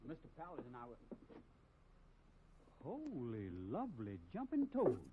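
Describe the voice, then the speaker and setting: A flustered, earnest young cowboy from a 1950s radio western. He stammers eagerly, his pitch fairly high and jumping around. The old recording sounds thin and phone-like under loud hiss. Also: huge pitch swings, a little slow. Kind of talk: 1950s radio drama acting.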